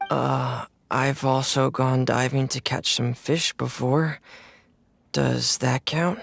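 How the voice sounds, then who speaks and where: An actor playing a shy, hesitant young man in a video game, with a halting, husky, creaky voice. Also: mid-range voice, steady tone, a bit bright, no room sound, full character voice. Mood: nervous. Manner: halting, unsure.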